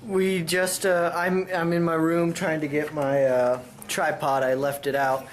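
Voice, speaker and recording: A man's voice: fairly high pitch, flat delivery, unhurried. A man giving a casual vlog update, chatty and a bit hesitant. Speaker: vlogger.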